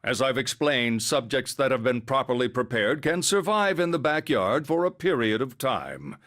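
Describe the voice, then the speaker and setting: A man voicing an erudite, composed scientist in a video game, explaining things in a formal, measured, lecturing way. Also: mid-range voice, sing-song, a bit bright. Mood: composed, matter-of-fact. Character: calm, erudite male scientist lecturing on grave theories. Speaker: character in a video game story scene. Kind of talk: dramatic game voice acting, expository lecturing. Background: music.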